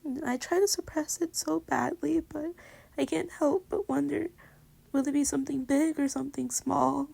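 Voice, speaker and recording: Girlfriend roleplay , female performer: fairly high voice with vocal fry, intimate and teasing, hesitant, breathy whispered asides. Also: fairly even intonation, normal speed, a little mellow. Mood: hesitant, vulnerable. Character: playful, affectionate girlfriend, secretly insecure. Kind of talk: intimate roleplay audio, pillow talk.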